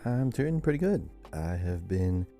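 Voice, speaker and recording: Man, low-pitched, very dark mellow tone, casual podcast co-host. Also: sing-song, measured pace, soft background music. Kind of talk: casual conversational podcast chat.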